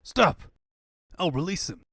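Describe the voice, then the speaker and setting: A man's voice: high-pitched, expressive, unhurried, fairly bright tone, slightly rough, no echo, clean studio sound, pitched above the performer's own voice, heavily acted. A man voicing a blustering, tyrannical but cowardly cartoon villain in a menacing, bombastic way for a comic fan dub. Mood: panicked.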